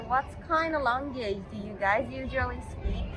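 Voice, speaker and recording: A woman's voice: a little high, expressive, moderate pace. A woman from Japan, a native Japanese speaker, hosting in English and asking short, friendly questions. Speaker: host. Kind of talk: casual interview.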